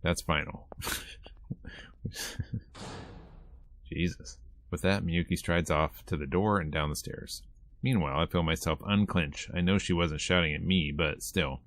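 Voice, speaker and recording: A man streaming a visual novel, reading the narration aloud in a conversational, expressive way. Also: low voice, measured pace, long pauses, no room sound. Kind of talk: game let's-play, reading narration aloud.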